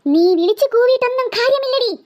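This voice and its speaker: A woman plays a bloodthirsty ghost inside a little girl. The voice is very high and childlike, yet menacing. It delivers slow, taunting threats in a comic horror cartoon style. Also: animated, very noisy. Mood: threatening. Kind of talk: comic horror skit acting.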